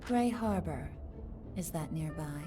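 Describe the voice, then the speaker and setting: A woman's voice: sits low, expressive, takes it slowly. Poised, secretive woman traveller. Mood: smooth, assured. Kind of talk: video game voice acting. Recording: music behind the voice.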